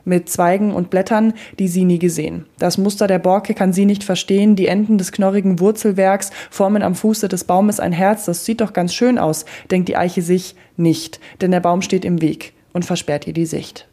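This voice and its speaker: A woman narrating a rhymed story in a measured, rhythmic way. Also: lowish voice, fairly even intonation, warm tone.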